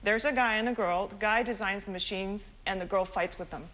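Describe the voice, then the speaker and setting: A woman's voice: mid-range voice, fairly quick, over a noisy crowd, poor audio. A woman in her thirties from Singapore, a guest author in a morning TV studio interview, chatty and full of fillers. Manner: chatty, laughs often, many fillers.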